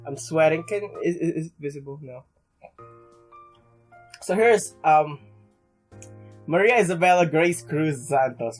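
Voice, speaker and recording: A young man plays a game and comments casually, reading text aloud. His voice is a little high, and he stumbles and rambles through sentences. Faint music runs behind him. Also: darkish tone. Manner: halting, stumbling, rambling, mild swearing. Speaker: let's play gamer.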